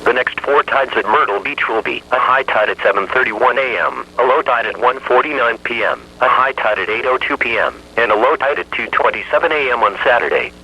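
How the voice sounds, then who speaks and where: A synthetic male voice reading an automated weather radio bulletin in a flat, evenly measured delivery. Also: mid-range voice, husky, dull audio.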